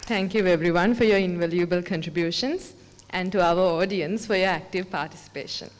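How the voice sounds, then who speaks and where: A woman's voice: lowish voice, a little fast, a faint crowd, slight background noise. A woman moderator politely thanking the panel and inviting comments. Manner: polite, brief. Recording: long hall reverb.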